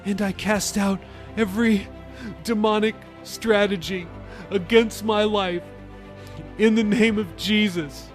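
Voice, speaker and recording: A man's voice: high voice, steady tone, takes it slowly, soft background music, pitched above the performer's own voice. A man voicing a pastor's daughter, a young woman praying earnestly with her father. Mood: fervent. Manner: earnest, pleading.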